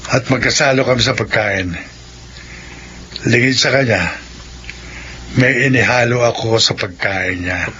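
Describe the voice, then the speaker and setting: A scheming male aswang in a Filipino horror radio play. He is sly and boastful, possessive in temper. His mid-pitched voice comes through a dull recording with clear background noise. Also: unhurried, long pauses, bright, forceful tone. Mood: gloating, possessive.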